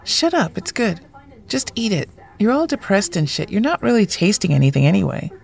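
An actress playing a blunt, wry, loyal friend in a naturalistic audio drama, speaking casually. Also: female voice, mid-range voice, very animated, a little fast, vocal fry, a little mellow. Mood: wry, teasing. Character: blunt, foul-mouthed, loyal woman friend, homebody.

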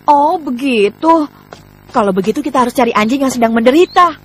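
A woman's voice: high voice, a little fast, slight background noise. A woman voicing a whiny, eager schoolboy of about ten in a dubbed children's cartoon. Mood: puzzled. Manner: excitable, complaining. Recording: music behind the voice.

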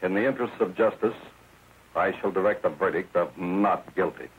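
A man's voice: low-pitched, normal speed, lightly acted. An older man playing a stern judge, speaking formally and solemnly in 1930s film style. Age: older, 60s. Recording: tinny, hissy 1930s film soundtrack.

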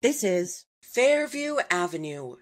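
Slow, low-voiced woman announcing trains. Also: very animated. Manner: formal, segmented phrasing pieced from clips. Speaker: transit announcer. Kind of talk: scripted automated train station announcement.

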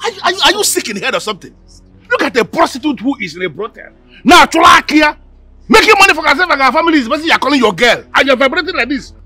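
An actor playing a pompous, hot-tempered man called Chief, who blusters and repeats himself while shouting. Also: very high-pitched, sing-song, racing, dry recording, faint music behind, heavily acted. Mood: indignant. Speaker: angry customer called Chief.

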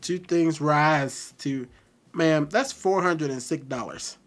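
A man hosting a casual video-call chat, teasing and blunt in an animated way. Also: fairly high pitch, slow. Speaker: commentary channel host.

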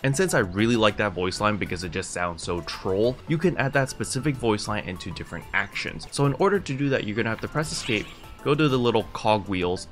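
A man's voice: on the low side, lively intonation, fairly quick, hardly any pauses. Young man giving a casual game tutorial, faint music. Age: young adult. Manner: explanatory, step by step, conversational. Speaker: video game tutorial host. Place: small room at home, indoors.

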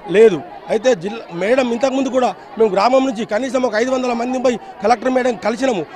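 Man, protesting farmer, high voice, colloquial, outdoor road, faint crowd. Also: fast talker, darkish tone. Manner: plainspoken, colloquial. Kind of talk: street interview.

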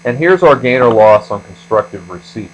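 A man's voice: mid-range voice, expressive, normal speed, poor audio. A man giving a step-by-step screencast lecture as an instructor, explaining things methodically. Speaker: accounting instructor.

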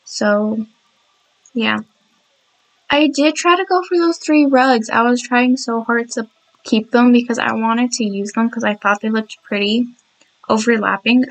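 A woman's voice: on the high side, poor audio. Young woman w sore throat rambling over her game build, laughs a lot, restarts a lot. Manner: chatty, giggly, apologetic, many restarts. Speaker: speed build narrator.

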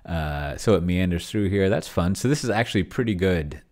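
A middle-aged man giving casual think-aloud screencast commentary, halting and muttering as he types. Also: sits low, expressive, a little mellow. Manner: halting, mutters while typing. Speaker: host of a solo roleplaying experiment vlog. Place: small indoor room with bookshelves.

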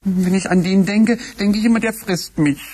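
A man's voice: high-pitched, moderate pace, dark tone, slightly rough. An actor voicing an easygoing, chatty male puppet courier in a children's radio play. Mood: breezy.

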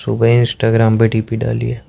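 Man acting young boyfriend, mellow voice, mid-pitched, suspicious, sarcastic, probing, phone-call drama. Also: monotone, measured pace, creaky. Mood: accusing, sarcastic. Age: young adult. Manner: probing, sarcastic, repeats questions. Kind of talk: acted phone-call argument between a couple.

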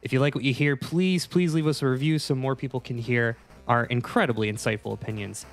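A man's voice: medium pitch, steady tone, brisk, creaky, faint music behind. A man hosting a podcast discussion in a mock-serious, conversational way. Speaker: podcast host.

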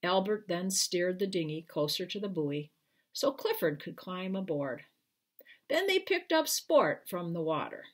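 A woman in her sixties or older with a husky voice reads a children's book aloud in a deliberate, expressive way, as an author at storytime. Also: fairly low pitch. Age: 60s or older. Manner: deliberate, expressive reading with brief explanatory asides. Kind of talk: storytime read-aloud to camera. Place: small living room at home, indoors.

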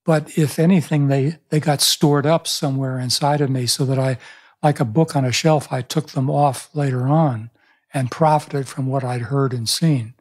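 Older man, husky voice, storytelling. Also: medium pitch, steady tone, normal speed, darkish tone. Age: 70s. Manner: thoughtful storytelling with frequent restarts. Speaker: pastor and author, guest. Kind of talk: reflective interview conversation. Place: small room, indoors. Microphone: studio microphone on boom arm.

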